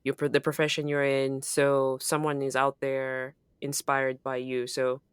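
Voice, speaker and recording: A woman's voice: rumbling low, completely flat delivery, a little slow, smooth, dry recording, clean studio sound. A woman co-hosting a podcast in casual conversation, speaking in an affirming, reflective way. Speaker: podcast co-host.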